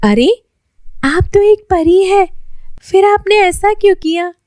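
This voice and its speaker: A woman voicing a kind, humble, poor young seamstress girl in a children's story, with an earnest, expressive manner. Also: very high voice, takes it slowly, no echo, studio quality, pitched above the performer's own voice. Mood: amazed.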